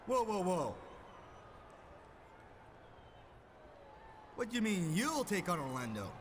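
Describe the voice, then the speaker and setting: A man's voice: high-pitched, sing-song, fairly quick. A man acting as an argumentative pro wrestler in a video game cutscene. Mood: confrontational. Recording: echoey hall with faint noise.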